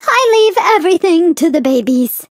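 A woman's voice: extremely high pitch, very animated, moderate pace, darkish tone, no room sound, studio quality. A woman voicing a prim, doting and haughty motherly alien flea queen for a video game, theatrical and sing-song as she scolds and coos. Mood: doting, excited. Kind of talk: video game character voice lines.

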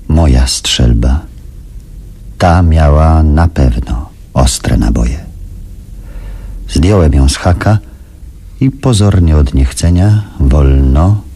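An actor in a Polish radio play playing a bitter, shaken but resolved man who also narrates, in a grave, deliberate manner. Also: a bass voice, mellow, breathy edge, full character voice.

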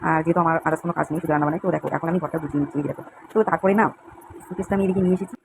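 Young woman, very rapid chatty vlog narration, flat intonation, noisy home recording. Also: low-pitched. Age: young adult. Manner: chatty, repetitive run-on storytelling. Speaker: home vlogger. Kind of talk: casual vlog narration addressed to viewers. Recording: clearly noisy home recording, uneven volume.

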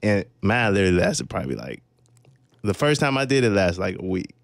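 A man's voice: deep voice, sing-song, fairly quick, creaky, no room sound. A young man hosting a radio interview in informal, slangy talk, close on a broadcast mic in a studio. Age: young adult. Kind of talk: casual radio interview chat. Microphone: broadcast microphone up close.

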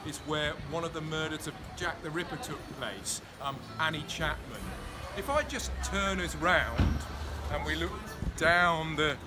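A man's voice: a little high, expressive, measured pace, over music. A man in his forties leading a walking tour, explaining sights with enthusiasm while walking outdoors in a city and filming on a close phone camera. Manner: enthusiastic, explanatory, pointing things out. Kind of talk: casual on-location tour narration. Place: outdoors on busy city streets. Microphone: handheld phone camera close to face. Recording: outdoor street traffic noise. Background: street and traffic noise.

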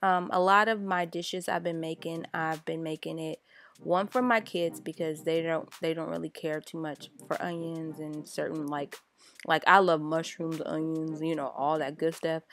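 A woman's voice: low-pitched, slight background noise. A woman, a mom chatting casually as she walks through her meal prep. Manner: chatty and relaxed, many fillers. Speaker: vlogger, mom cooking for her kids. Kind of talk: casual cooking voice-over.

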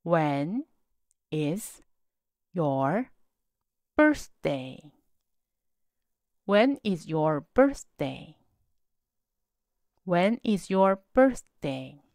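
A woman teaching set phrases in a slow drill, saying each word on its own and then the whole sentence. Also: lowish voice, big pitch swings, darkish tone, dry recording, clean studio sound. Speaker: English teacher. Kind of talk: slow repeat-after-me drill reading.

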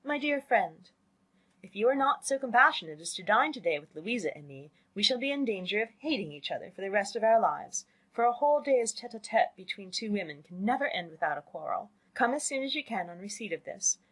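A woman voicing a polite, gentle young woman in a storybook. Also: medium pitch, very animated, a little fast, husky, pitched above the performer's own voice, poor audio. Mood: polite, light. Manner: polite, reading letters aloud.

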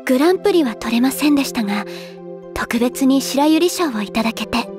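Shy humble anime schoolgirl idol, high soft voice, super polite, faint music. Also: animated, a little fast. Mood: gently reminiscent. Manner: formal, soft-spoken, humble. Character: modest, very polite, reserved teenage girl idol. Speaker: voice actress in character. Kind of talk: anime audio drama dialogue.

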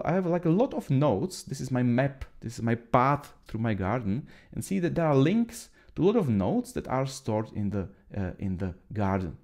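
Man, 30s, Czech accent, non-native English, warm mellow tone, lively pitch, halting with fillers, webcam tutorial. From Czech Republic. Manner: halting, many fillers and restarts. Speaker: trainer. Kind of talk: screen-share tutorial.

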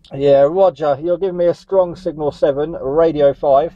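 Dull lo-fi audio, man from northern England, fairly high voice, friendly CB radio chat, radio jargon. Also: fairly even intonation, measured pace, no echo. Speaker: CB radio operator. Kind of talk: casual radio contact chat.

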